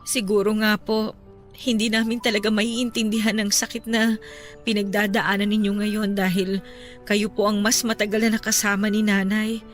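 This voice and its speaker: An actress playing a caring young-adult daughter in a radio drama, talking softly and respectfully to console her father. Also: medium pitch, flat delivery, normal speed, soft background music, close to an everyday voice. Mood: gentle.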